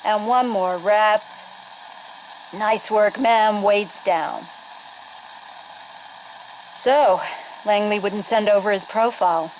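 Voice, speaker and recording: A woman's voice: medium pitch, slow, long pauses, darkish tone, lo-fi sound, a little hiss or hum, natural voice. A woman playing an encouraging, casual and deferential military aide and trainer in a game audio log.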